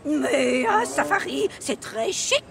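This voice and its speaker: Very high-pitched bossy old mother. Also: sing-song, takes it slowly, gravelly, heavy background noise, exaggerated voice. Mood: amused. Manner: coaxing, self-assured, theatrical. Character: doting, bossy, theatrical elderly mother. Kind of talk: animated children's series dub.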